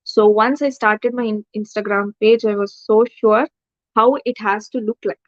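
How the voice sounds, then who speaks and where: A woman's voice: medium pitch, steady tone, moderate pace, no room sound. A young woman with a Tamil-accented English voice and a cold, giving a casual slide talk with many fillers and switches into Tamil. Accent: Indian English, Tamil. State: has a cold. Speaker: alumna entrepreneur giving a talk. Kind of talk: informal presentation with slides. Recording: muffled dry sound.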